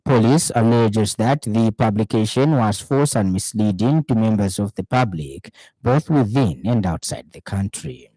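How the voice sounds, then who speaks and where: Low, mellow Zambian male newsreader. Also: lively intonation, no echo, poor audio. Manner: formal, measured newsreader delivery. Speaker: radio news reader. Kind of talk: scripted news bulletin reading.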